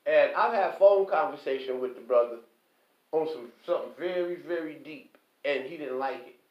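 A man with a high-pitched voice gives an emphatic, preachy monologue, circling back to his points and checking in with "okay?" He sounds set back from the mic in a reverberant room, and the recording is dull and lo-fi. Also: normal speed. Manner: emphatic, repetitive, keeps asking "okay?"; preachy, quotes scripture. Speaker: online commentator. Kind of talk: impassioned monologue. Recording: echoey, distant, dull lo-fi.

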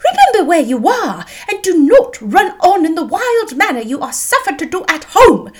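A woman's voice: very high-pitched, big pitch swings, slow, pitched above the performer's own voice, full character voice. A woman voicing a fussy, self-important middle-aged mother in a period novel, effusive and exclamatory. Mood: scolding.

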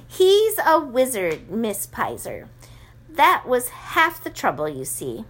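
A woman's voice: fairly high pitch, huge pitch swings, slow pace, a bit bright, exaggerated voice. A woman voicing a kindly, confident wife of a wizard who talks in a reassuring way.

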